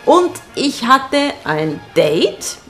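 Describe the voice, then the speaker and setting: A woman's voice: fairly high pitch, very animated, drawn-out words, fairly bright tone. A woman from Argentina, a native Spanish speaker, chats candidly and with a giggly manner as a guest telling personal stories on the radio. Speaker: singer and actress, radio guest. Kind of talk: casual personal storytelling in a radio interview. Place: radio broadcast. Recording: music behind the voice. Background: music.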